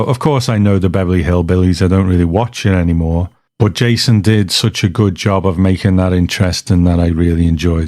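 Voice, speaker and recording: Low, dry-sounding man hosting casually. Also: dark tone, no echo. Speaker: podcast host. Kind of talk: casual reflective podcast talk.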